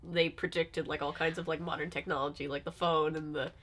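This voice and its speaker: A woman's voice: sits low, little pitch movement, brisk, a bit bright. A young woman giving casual, giggly reaction commentary, saying "like" often. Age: young adult. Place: small cosy furnished room indoors.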